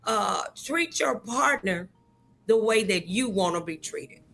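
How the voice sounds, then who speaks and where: A woman's voice: mid-range voice, expressive, takes it slowly, creaky, a bit bright, soft background music. A woman hosting a talk show, speaking conversationally and emphatically, repeating words for stress.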